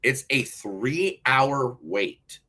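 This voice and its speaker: A man's voice: medium pitch, lively intonation, very slow, bright, forceful tone. An American man co-hosting a podcast in casual banter, blunt, jokey and sweary. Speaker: podcast co-host.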